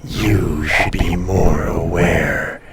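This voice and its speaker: A man playing a proud, teasing ancient dragon in an intimate roleplay audio, speaking in a condescending, often hushed and breathy way. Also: low-pitched, fairly even intonation, drawn-out words, heavy background noise.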